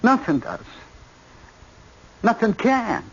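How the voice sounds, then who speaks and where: A brooding, husky, high-voiced young convict. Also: male voice, huge pitch swings, fairly bright tone, heavily acted. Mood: earnest, reflective. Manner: halting, hesitant, searching for words. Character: jailed young male killer, brooding, frank, abandoned orphan. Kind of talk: vintage radio drama acting. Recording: dull lo-fi old radio recording.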